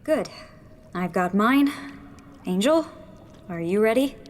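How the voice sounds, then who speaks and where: A woman's voice: medium pitch, lively intonation, slow pace. A woman playing a determined young rebel engineer in a sci-fi audio drama, earnest and taking charge as she explains the plan. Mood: focused. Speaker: rebel leader. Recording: loud music over the voice.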